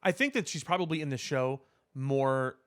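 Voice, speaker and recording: A man's voice: medium pitch, expressive, normal speed, smooth. Man casually talking movies on a podcast. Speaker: podcast co-host.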